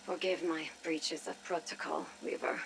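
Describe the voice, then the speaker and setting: An actress playing a rogue intelligence operative in a game audio log, speaking with dry deadpan humour and deliberate phrasing through a radio filter. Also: female voice, deep voice, vocal fry. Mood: grave, hushed. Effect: radio transmission filter. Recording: hissy radio transmission with static.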